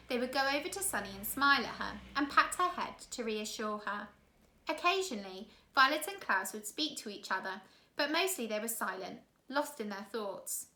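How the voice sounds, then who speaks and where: A woman reading a children's novel aloud in an expressive storytelling style with dramatic emphasis. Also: fairly high pitch. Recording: roomy, echoey room sound.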